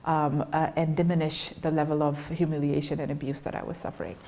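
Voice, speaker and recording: A young woman speaks in a low register, earnest and unhurried. She talks as a guest, stopping and restarting often with small fillers. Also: little pitch movement, creaky, warm tone. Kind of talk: personal testimony in an interview.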